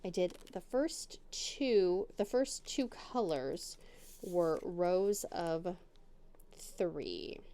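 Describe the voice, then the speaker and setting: Middle-aged woman, casual crafting chatter at home, warm mellow tone, slow easy delivery. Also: on the low side, animated. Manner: rambling, self-deprecating, chatty. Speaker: crafting channel host. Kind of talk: casual chat while crafting. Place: home dining room.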